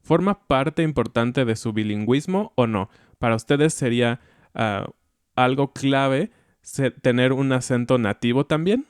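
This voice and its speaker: A man with a Mexican accent co-hosting a podcast, explaining language topics in a calm, reflective way. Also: medium pitch, measured pace, smooth, no echo, studio quality. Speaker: podcast co-host. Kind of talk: educational podcast chat.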